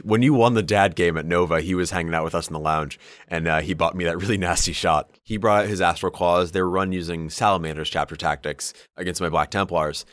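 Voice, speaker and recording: A man's voice: sits low, dry recording. A man co-hosting a podcast in casual, informal chat, explaining things with some swearing. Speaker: podcast co-host. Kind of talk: casual chat between friends.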